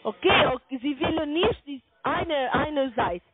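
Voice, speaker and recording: A woman with a foreign accent in German on a sales call, halting and insistent with her questions. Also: sits high, lively intonation, very noisy. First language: not German. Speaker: call center sales agent. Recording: narrow-band phone line.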